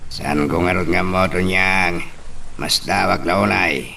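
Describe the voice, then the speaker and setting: An actor with a Filipino accent playing a guarded, plain-spoken personal driver in an audio drama, casual and questioning. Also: male voice, low-pitched, fairly even intonation, measured pace, gravelly, pushed and bright, full character voice. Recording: noticeable background noise.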